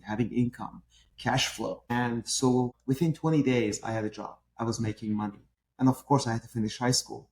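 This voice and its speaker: A man's voice: medium pitch, a little mellow, a little hiss or hum. A middle-aged man with a Persian accent, speaking emphatically as an interview guest and asking himself rhetorical questions. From Iran. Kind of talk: podcast interview.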